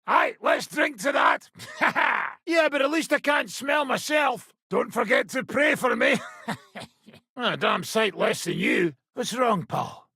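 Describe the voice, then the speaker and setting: An actor playing a foul-mouthed, boozy medieval fighter in a video game, with a British accent and a rough, gravelly voice. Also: male voice, extremely high pitch, animated, slow, sharp tone, no echo, raised voice, clean studio sound, exaggerated voice. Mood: rowdy cheer. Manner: coarse, brash, swearing banter.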